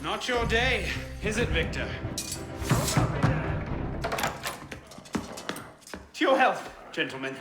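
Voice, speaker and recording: A cocky young French rogue in a video game, with a lightly high, swooping voice. He is sarcastic and breezy over loud music. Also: very animated, moderate pace. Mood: cheeky. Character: cocky, flippant young French man, roguish ward. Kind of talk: video game cutscene acting.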